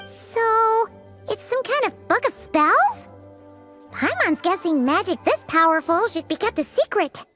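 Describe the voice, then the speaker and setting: A tiny fairy companion in a video game. The voice is extremely high, squeaky and childlike, swooping excitably in a chirpy way, with loud playful music behind it. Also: female voice, unhurried, heavily acted. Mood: curious. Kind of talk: scripted video game dialogue.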